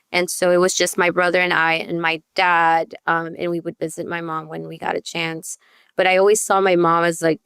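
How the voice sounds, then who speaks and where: Smooth, low-voiced woman, candid guest. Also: fairly bright tone, no echo, studio quality. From born in El Salvador, raised partly in Northern Virginia. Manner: candid, conversational, peppered with "you know" and "right?". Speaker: podcast guest, youth advocate. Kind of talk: personal storytelling in conversation.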